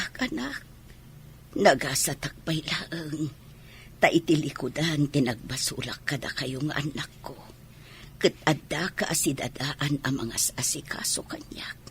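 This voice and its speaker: A woman's voice: sits low, lively intonation, a little slow, a little hiss or hum. A woman playing an ailing, grateful elderly mother in a radio drama, plaintive, with a Filipino accent. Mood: grateful, wistful. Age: older. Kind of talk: Ilocano radio drama acting.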